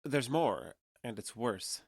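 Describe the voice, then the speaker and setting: A man's voice: medium pitch, lively intonation, takes it slowly, vocal fry, breathy edge, no echo, studio quality, full character voice. An American man playing a guarded, sincere alien who passes for a young adult, explaining himself hesitantly in a naturalistic audio drama. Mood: earnest explaining.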